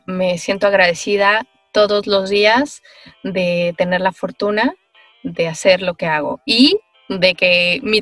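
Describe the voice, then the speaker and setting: A woman, a voice actress answering interview questions on a video call, thoughtful and animated, with many pauses and fillers. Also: mid-range voice, dry recording. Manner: animated, gestures while talking. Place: small room with foam panels.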